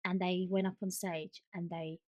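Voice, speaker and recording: A woman's voice: on the low side, little pitch movement, normal speed, a little mellow, no room sound, studio quality. A woman co-hosting a podcast in casual chat, gushing and emphatic, often repeating herself for stress. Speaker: podcast co-host.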